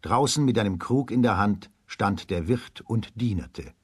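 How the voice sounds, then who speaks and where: A male narrator tells a children's radio story. His voice sits fairly low and has a husky, slightly rough grain. The sound is very dry and close. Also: expressive, measured pace, no room sound.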